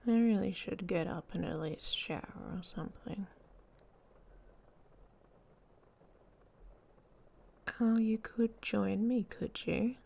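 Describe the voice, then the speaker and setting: A woman playing a hot-tempered, protective she-wolf in an intimate ASMR roleplay, with a husky, breathy voice and a blunt, sardonic manner. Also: medium pitch, normal speed, darkish tone. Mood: teasing, intimate. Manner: sardonic, blunt, speaking straight to the listener.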